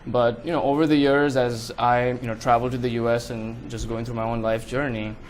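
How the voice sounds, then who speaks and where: A man's voice: medium pitch, flat delivery, fairly quick, slight background noise. A young man from India, a guest in a casual interview, speaking earnestly with many fillers. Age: young adult.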